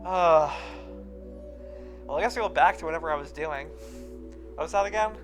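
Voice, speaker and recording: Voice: medium pitch, moderate pace. Casual let's-read narrator over music. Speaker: co-host reading a visual novel aloud with friends.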